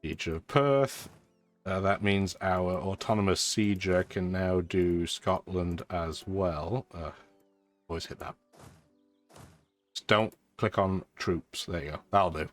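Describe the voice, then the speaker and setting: Deep-voiced congested man gaming. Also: slow pace. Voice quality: congested, stuffy nose. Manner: dry, chatty, talks to the game units. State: lingering sore throat, blocked sinuses. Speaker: gaming video host. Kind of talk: casual strategy game commentary. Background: background music.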